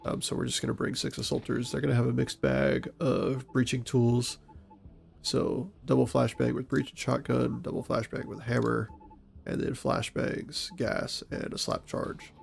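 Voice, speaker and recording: A man with a stuffy, congested voice giving calm, methodical commentary on a tactical game. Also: mid-range voice, moderate pace, creaky. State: stuffy from a cold. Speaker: gaming channel host and SWAT operator.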